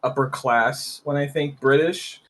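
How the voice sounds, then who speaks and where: Slow-talking man with a Californian accent. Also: mid-range voice, steady tone. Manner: easygoing, laughs often. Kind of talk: casual chat on a livestream.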